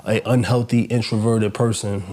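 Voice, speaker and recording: A man's voice: fairly low pitch, flat delivery, normal speed, mellow. A man from Massachusetts and New York City, a podcast guest, speaking reflectively and often ending points with "right?". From Massachusetts and Queens, New York. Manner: reflective, tags statements with "right?". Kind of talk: interview conversation.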